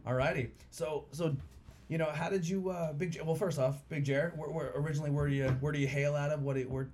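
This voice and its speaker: A man hosting a casual podcast over beers, talking in a relaxed, rambling way with lots of fillers. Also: fairly high pitch, fast. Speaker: podcast host.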